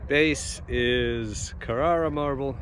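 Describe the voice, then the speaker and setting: A man giving impromptu walking-tour commentary outdoors in short, halting, matter-of-fact phrases. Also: medium pitch, a bit bright. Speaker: travel vlogger. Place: outdoors at open seaside ruins. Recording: outdoor phone recording with ambient noise.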